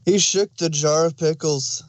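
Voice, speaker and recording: Male narrator for a read-aloud fan story: fairly high voice, very little room sound. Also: fairly even intonation, unhurried, no echo.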